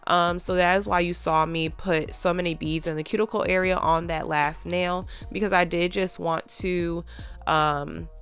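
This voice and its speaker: A chatty woman with a slightly low, smooth voice that sounds stuffed up from congestion. She talks casually to her viewers, filling pauses with "um" and "like", over faint background music. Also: steady tone, a bit bright. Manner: chatty, lots of fillers. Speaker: nail tech vlogger.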